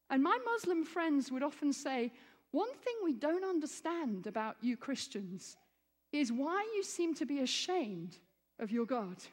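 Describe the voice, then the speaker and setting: Female preacher raised in Egypt, high voice, earnest sermon delivery building to fervent peaks, big hall reverb. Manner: earnest, rising to fervent emphasis. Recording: long reverb of a large hall.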